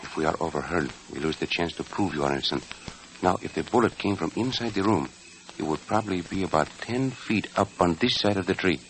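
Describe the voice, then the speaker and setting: A man's voice: low-pitched, expressive, fairly quick, a little hiss or hum. A man playing a suave, commanding Mexican cowboy adventurer in an old-time radio western, with a Mexican accent and courtly manner. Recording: muffled, husky vintage radio broadcast.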